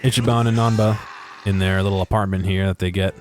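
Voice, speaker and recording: A man's voice: low-pitched, steady tone, fast. A man giving casual, rambling and hesitant commentary over game footage. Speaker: video narrator.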